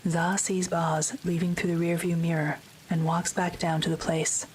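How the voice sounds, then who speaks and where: Woman, audio drama narrator, very low pitch, flat intonation, matter-of-fact, measured. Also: slight background noise, rough recording.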